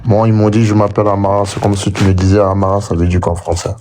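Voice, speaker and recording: A man's voice: fairly low pitch, flat delivery, quick pace, dark tone, faint music behind. A man calling into a live debate, answering hesitantly and defensively. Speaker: caller.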